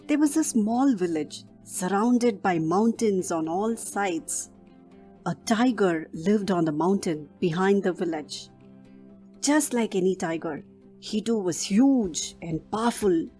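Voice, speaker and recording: A woman storyteller narrates for young children in an expressive way, with a slightly husky edge to her mid-pitched voice. She speaks as if to a small audience of little listeners, over soft music. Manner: asks young listeners questions.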